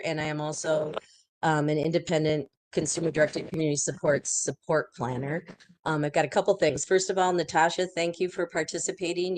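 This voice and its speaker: Woman, raspy cold voice, low pitch, frequent ums, video call. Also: slight background noise. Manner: halting, many ums. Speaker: advocacy volunteer and support planner. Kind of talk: committee meeting comment.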